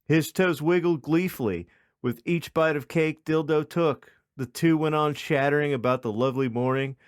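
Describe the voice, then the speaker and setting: A man's voice: on the high side, moderate pace, clear, resonant tone, dry recording, studio quality. A man hosting a podcast, reading a comic story in a mock-dramatic narrating style. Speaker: podcast host.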